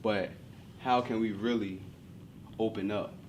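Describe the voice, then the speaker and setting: A man's voice: fairly low pitch, fairly even intonation, unhurried, a little hiss or hum. A man leading a workshop discussion, instructing the group and posing rhetorical questions.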